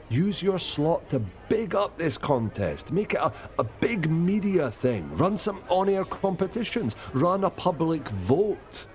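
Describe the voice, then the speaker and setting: A man's voice: mid-range voice, lightly acted. A man acting a teasing, shrewd pub friend in a radio soap, with a droll, bantering delivery. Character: teasing, jokey pub pal giving shrewd advice.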